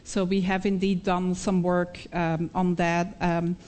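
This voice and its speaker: A woman's voice: on the low side, level tone, a little slow, darkish tone. A middle-aged woman with a Belgian accent, a researcher answering audience questions after a talk, thoughtful and hesitant with many fillers and frequent little laughs. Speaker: invited speaker, researcher.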